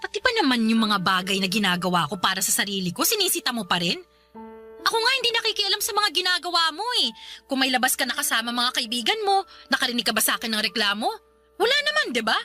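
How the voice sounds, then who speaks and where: A woman's voice: fairly high pitch, sing-song, fast, pushed and bright. An actress playing a weary, irritated young working woman in an emotional, confrontational radio drama. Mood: exasperated, angry. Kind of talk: Tagalog radio drama acting. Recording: music bed behind the voice.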